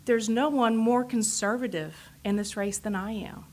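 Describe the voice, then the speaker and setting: A woman's voice: a little high, normal speed. Woman, Texan accent, earnest, political candidate, interview answers. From Texas. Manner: earnest, repeats short affirmations.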